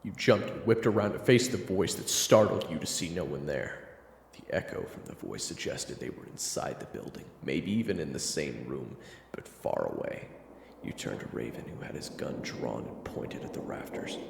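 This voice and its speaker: A man narrating a scripted second-person story in a hushed, suspenseful manner. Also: rumbling low, big pitch swings, normal speed, vocal fry, reverberant, in a large hall, slight background noise.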